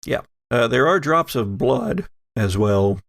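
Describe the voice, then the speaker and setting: Halting male game master, close dry sound. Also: medium pitch, expressive, vocal fry, a bit bright, dry recording. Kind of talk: narrating a tabletop roleplaying game, conversational.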